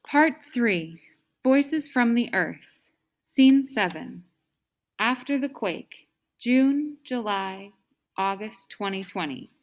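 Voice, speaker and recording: Lady reading out scene headings for a play, measured and slow, voice a bit high. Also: lively intonation. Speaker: stage directions reader. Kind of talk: scripted narration for a staged reading.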